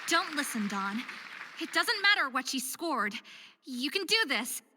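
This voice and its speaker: A woman's voice: sits high, sing-song, measured pace, crowd noise, background noise. A woman voicing an anxious but determined young female figure skater in a visual novel game, given to self-doubt and pep talks. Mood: self-encouraging.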